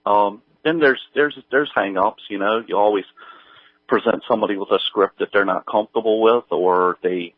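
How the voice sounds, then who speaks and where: Halting, low-voiced man, dull audio. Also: no echo. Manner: halting, hesitant delivery full of pauses. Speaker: guest, audio drama creator. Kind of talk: casual interview chat.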